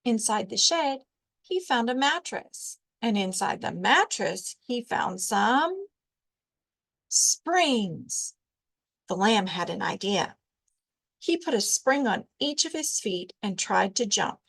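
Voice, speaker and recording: A woman reading a children's storybook aloud as a librarian storyteller, with deliberate, expressive narration. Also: a little high, creaky.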